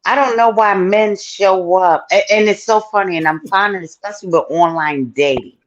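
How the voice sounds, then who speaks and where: A woman's voice: medium pitch, normal speed, lo-fi sound. A woman in her fifties, a host, talking bluntly and playfully in a group chat. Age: 50s. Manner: blunt, playful, laughs often. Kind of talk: casual group chat on a talk show.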